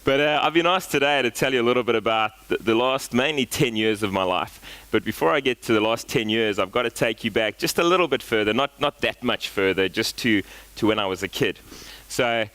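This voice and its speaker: A man's voice: mid-range voice, brisk, hardly any pauses, a bit bright, clear, resonant tone. A South African man in his forties giving a casual, storytelling talk at a conference, with lots of fillers and restarts. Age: 40s. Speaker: guest speaker.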